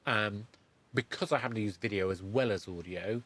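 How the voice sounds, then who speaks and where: Middle aged guy hosting an interview, lowish voice, rambles and keeps correcting himself. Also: fairly bright tone. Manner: halting, rambling, self-correcting. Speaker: podcast host interviewing a guest. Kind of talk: conversational interview.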